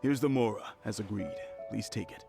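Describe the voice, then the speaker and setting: Polite merchant innkeeper, gravelly, slightly low, earnest, game dialogue, background music. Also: male voice, normal speed. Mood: grateful, explaining. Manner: courteous, earnest. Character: polite, wealthy male innkeeper, effusively grateful. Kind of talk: video game voice acting.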